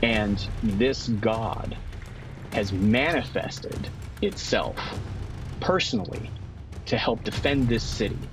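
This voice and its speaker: A man narrating a tabletop roleplaying game as game master, descriptive and pausing for dramatic effect. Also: fairly low pitch, expressive. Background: music bed.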